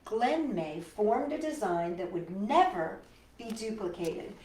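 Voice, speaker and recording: A woman reading a picture book aloud to children, expressive and full of wonder, pausing for chatty questions to listeners. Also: medium pitch, slight background noise. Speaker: children's storytime host. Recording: roomy echo, distant microphone.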